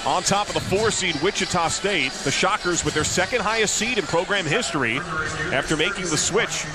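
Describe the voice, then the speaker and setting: A man's voice: sits high, lively intonation, fairly quick, over music, rough recording. A man calling live basketball play-by-play in clipped, descriptive phrases. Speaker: play-by-play announcer. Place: courtside at a basketball arena.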